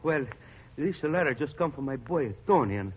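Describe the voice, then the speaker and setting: An actor playing a humble, proud Italian immigrant father with an Italian accent and halting broken English in 1940s radio. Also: fairly high pitch, lively intonation, a little hiss or hum, natural voice. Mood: shy, hopeful. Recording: muffled vintage radio recording.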